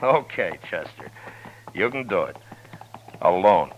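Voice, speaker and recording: An actor playing a calm, commanding frontier marshal in a 1950s radio drama. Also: male voice, sits low, takes it slowly, slight background noise, full character voice. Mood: steady. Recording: muffled old radio broadcast.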